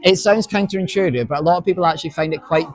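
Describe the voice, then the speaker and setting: A man's voice: sits high, rapid-fire, a crowd in the background. A man with a Northern Irish accent, a counsellor being interviewed, explaining his work in an earnest, casual way. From Northern Ireland. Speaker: counsellor and guest. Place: community event.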